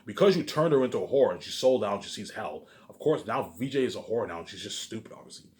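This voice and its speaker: A man with a lowish voice rambles through a personal monologue at a quick clip. He repeats himself and restarts often, pushing hard at the start of a thought and dropping into muttered tags at the end. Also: expressive, a little mellow. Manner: repetitive, restarting sentences, constant verbal tags; emphatic openings trailing off into muttered asides. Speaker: vlogger.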